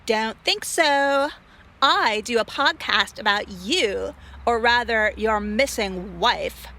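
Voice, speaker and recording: An actress playing a brash, self-important influencer and amateur sleuth in a comedic audio drama. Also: female voice, on the high side, expressive, slow, bright, forceful tone, exaggerated voice. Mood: smug.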